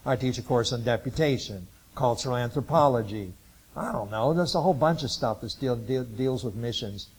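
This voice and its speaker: A man in his sixties from Ohio, a Bible college missions teacher, giving an informal testimony talk to a church group in a halting, rambling way with many filler sounds. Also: medium pitch, slightly rough, low-quality recording. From Ohio, USA. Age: 60s.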